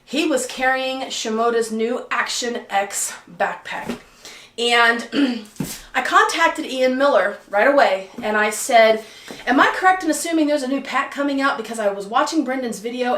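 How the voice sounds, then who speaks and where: A hoarse woman with a slightly high voice talks casually to camera about her photography channel. She is chatty and excitable, clearing her throat now and then. The sound is roomy, as if she is recorded in an echoey living room. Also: normal speed, a little hiss or hum. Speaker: photography vlogger. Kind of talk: casual vlog talk to camera. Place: living room at home by a patio door.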